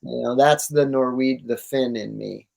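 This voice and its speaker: A man's voice: medium pitch, steady tone, a little slow, creaky, a little mellow. A man talking casually and enthusiastically as an interview guest, in laid-back, slangy language. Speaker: interview guest, cannabis grower.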